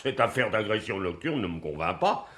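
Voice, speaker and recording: A man's voice: lowish voice, sing-song, normal speed, a bit bright. A man playing a sceptical, stubborn police inspector in a French radio mystery, dismissive and argumentative. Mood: sceptical, dry.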